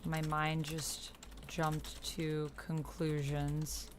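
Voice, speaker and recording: A woman with a slightly low voice talks casually through an online roleplaying game. She thinks aloud in halting phrases, reading chat and her own typed lines. Light noise and keyboard typing sit behind her. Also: completely flat delivery. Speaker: streamer and player of a text-based roleplaying game. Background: computer keyboard typing.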